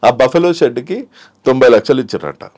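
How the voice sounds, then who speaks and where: A man's voice: mid-range voice, animated, a little fast, darkish tone. A man gives his opinions as an interview guest in a Telangana Telugu accent. He has a mid-range voice and talks casually but emphatically, circling back to his points and slipping into English phrases.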